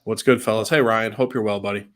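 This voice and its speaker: Laid-back lower-voiced man with a cold. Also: steady tone, fast talker. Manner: easygoing, chatty, many fillers. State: fighting a cold, slightly stuffed. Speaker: co-host. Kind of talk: casual livestream chat.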